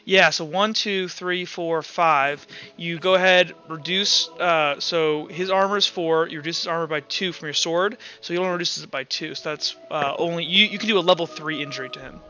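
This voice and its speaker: High-pitched, bright-voiced male game master. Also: moderate pace, hardly any pauses, muffled recording, a little hiss or hum. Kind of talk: running a tabletop roleplaying game, casual improvised narration and rules talk.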